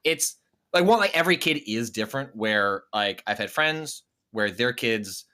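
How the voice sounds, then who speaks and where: A man's voice: mid-range voice, animated, moderate pace, sharp tone. A man in casual podcast conversation, talking in a loose, rambling way. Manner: rambling, frequent "like". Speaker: podcast panelist. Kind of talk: casual chat.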